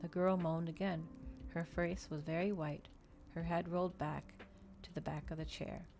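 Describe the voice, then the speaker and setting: Low-pitched woman reading an ASMR audiobook. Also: little pitch movement, moderate pace, a little mellow, soft background music. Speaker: streamer reading aloud. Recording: noticeable background noise.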